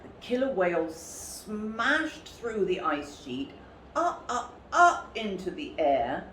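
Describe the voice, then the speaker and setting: A woman reading a children's story expressively, with a dramatic, deliberate delivery. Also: mid-range voice, sing-song, fairly bright tone. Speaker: storybook reader for a school story time. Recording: roomy, distant mic, faint background noise.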